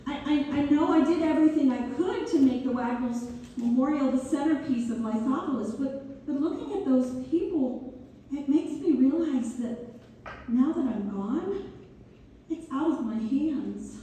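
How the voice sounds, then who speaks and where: A wistful, sentimental woman founder played by an actress looks back haltingly in a stage read-through. Her voice is high and soft-toned, heard from a distance in an echoing space. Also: lively intonation. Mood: anxious, pleading. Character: earnest, wistful woman founder looking back after death. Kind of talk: community theater dramatic read-through. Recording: echoey hall from far off, faint noise.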